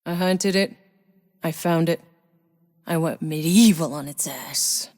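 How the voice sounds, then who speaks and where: Gritty low-pitched woman soldier, reverberant. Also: slow pace, darkish tone. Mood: dry, wry. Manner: gritty, wry, profane. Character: tough, sardonic female sci-fi outrider soldier. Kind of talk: video game voice lines, dramatic acting.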